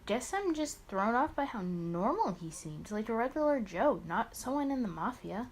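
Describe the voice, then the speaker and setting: A woman's voice: a little high, very animated, normal speed, breathy edge. A woman hosting a let's play, reading a visual novel aloud expressively with casual muttered asides and small stumbles.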